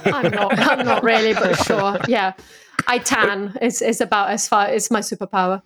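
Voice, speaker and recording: A woman's voice: mid-range voice, fairly even intonation, moderate pace, noisy background. A woman in her thirties from Brazil, a native Portuguese speaker with a southern English accent, chatting and laughing easily as a podcast guest. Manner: chatty and laughing, with many restarts. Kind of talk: casual chat.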